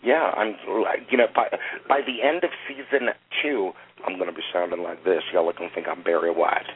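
A man's voice: deep voice, fairly even intonation, brisk. A man in his forties with a Southern US accent, co-hosting a casual talk radio show in a wry, joking way. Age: 40s. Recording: phone-line sound with faint noise.

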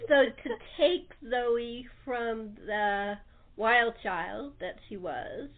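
Female interview host, slightly high pitch, casual and emphatic, muffled telephone-quality sound. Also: very slow. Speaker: radio show host. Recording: muffled phone-like line.

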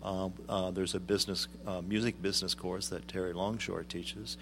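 A middle-aged man, a guest on a radio interview, explaining things in a measured way with frequent ums. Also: low-pitched, little pitch movement, slight background noise. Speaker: guest, arts executive director.

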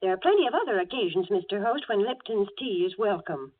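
A woman's voice: mid-range voice, lively intonation, fast talker, slightly rough. A woman playing a prim, cheery sponsor's hostess bantering in 1940s radio style. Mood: cheerful. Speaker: commercial spokeswoman. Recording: tinny old radio broadcast.

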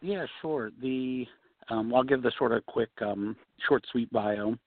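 A man being interviewed as a guest designer, relaxed, talking with many you-knows and ums. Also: mid-range voice, unhurried, no room sound. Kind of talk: casual interview answers. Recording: tinny phone-line sound.

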